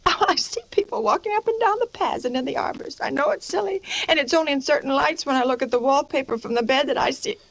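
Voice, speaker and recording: An actress playing a nervous, fragile young wife sinking into madness, intense and confiding in the style of old American radio suspense. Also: female voice, high voice, fast, creaky, few pauses, fairly bright tone, rough recording. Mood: timid, evasive. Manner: intense, anguished, confiding, dramatic. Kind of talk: 1940s-50s American radio suspense acting.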